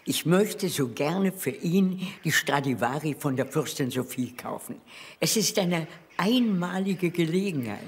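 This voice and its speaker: A woman's voice: sits low, expressive, measured pace, a little mellow. An older woman playing a haughty, blunt old countess in a German crime radio drama, with an aristocratic, brusque manner. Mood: pleading.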